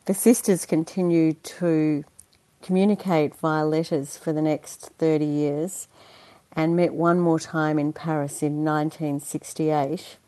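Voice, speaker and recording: Low, mellow-voiced woman. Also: flat delivery, unhurried, dry recording. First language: Dutch. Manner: chatty and earnest, laughs easily, many fillers. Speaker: interview guest, performer. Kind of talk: conversational interview.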